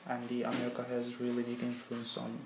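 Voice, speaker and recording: Young man, Turkish accent, non-native English, halting interview answers, roomy echo. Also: mid-range voice, completely flat delivery. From Turkey. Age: young adult. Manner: halting, searching for words in English. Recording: echoey room with faint ambient noise.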